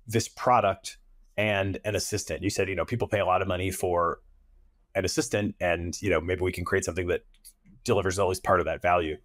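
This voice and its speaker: A man in his thirties hosts a conversational interview. His voice sits fairly low, and the sound is dry with little room on it. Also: dry recording. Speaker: podcast host and interviewer. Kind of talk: conversational long-form interview questioning. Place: indoors on a video call.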